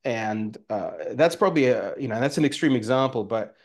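A man's voice: medium pitch, animated, a little fast. A man in his forties with an Australian accent, talking casually as a guest on a video call, with many fillers and restarts. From former Soviet Union, raised in Australia. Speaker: guest entrepreneur. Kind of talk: casual interview talk. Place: home room by a fireplace. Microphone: video call webcam.

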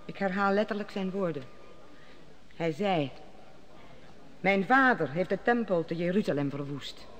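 A woman playing a worried, dignified queen in a radio play, speaking formally and measured. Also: on the low side, animated. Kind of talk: 1960s radio drama acting.

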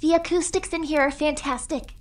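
A man's voice: very high voice, fairly even intonation, brisk, voice pushed up. A man voicing a cheery, quirky, optimistic young girl adventurer in an audiobook, with a buoyant manner. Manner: light, buoyant.

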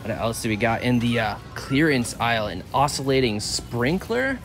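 A chatty man in his thirties talking his way through the aisles of a big store, speaking at an ordinary pitch for a man. He rambles, fills gaps with "uh" and corrects himself as he goes. Soft music plays faintly behind him. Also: animated, moderate pace. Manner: chatty, rambling, frequent uh fillers and self-corrections. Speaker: vlog host. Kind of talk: casual walk-through vlog. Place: large indoor store aisles. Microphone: handheld camera held by the filmer.

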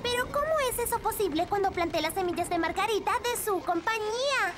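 A woman voicing a tiny, earnest, excitable little girl in an animated children's cartoon dub. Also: very high-pitched, a little fast, noisy background. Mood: puzzled.

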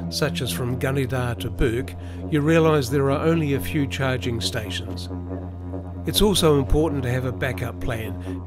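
A middle-aged man giving a calm, explanatory voice-over about planning a trip. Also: mid-range voice. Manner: measured, explanatory. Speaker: vlogger. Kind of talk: scripted voice-over narration.

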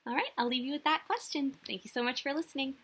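A young woman reading a picture book aloud to small children like a teacher, expressive and sing-song, often putting questions to them. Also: sits high, quick pace. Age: young adult. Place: small indoor room.

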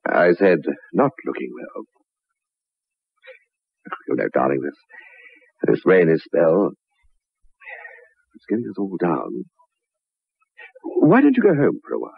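Suspicious husband feigning concern in vintage radio play; husky, deliberate, on narrow-band audio. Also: male voice, deep voice, wildly swooping pitch, no echo, full character voice. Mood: coaxing. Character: suspicious husband, smoothly over-solicitous. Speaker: radio drama actor. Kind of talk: 1960s radio drama acting. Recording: muffled, narrow-band 1960s radio recording.

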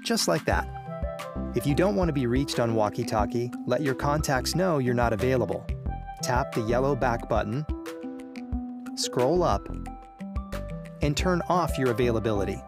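A man narrates a scripted how-to guide in a medium-pitched voice. Music plays clearly behind him as he gives step-by-step instructions. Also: lively intonation, normal speed, long pauses. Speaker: tutorial narrator.

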